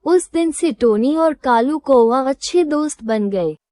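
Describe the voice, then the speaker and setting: A woman reading a scripted children's moral story as storyteller, in a measured, instructive manner. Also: high voice, animated, dark tone, dry recording.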